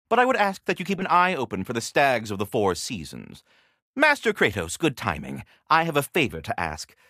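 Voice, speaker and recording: A man's voice: on the high side, pushed and bright, no echo, clean studio sound, heavily acted. A man voicing a polite, fussy magical squirrel from a video game, speaking in courtly, wordy, butler-like formality. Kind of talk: theatrical video game character dialogue.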